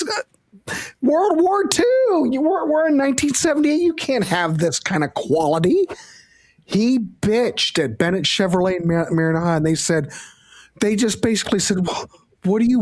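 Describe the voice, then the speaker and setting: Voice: medium pitch, darkish tone. A host on a casual livestream panel, telling stories with a wry, teasing manner. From Central Ohio.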